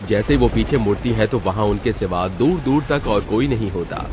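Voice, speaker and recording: A man's voice: on the low side, moderate pace, background noise. A man telling a scripted children's story as its narrator. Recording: music behind the voice.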